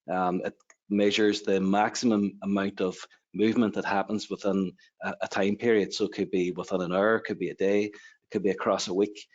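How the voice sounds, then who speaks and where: A man from Ireland with an Irish accent, a lecturer explaining his work on a webinar panel. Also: lowish voice. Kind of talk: explanatory presentation. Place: online webinar. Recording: dull, lo-fi webinar audio.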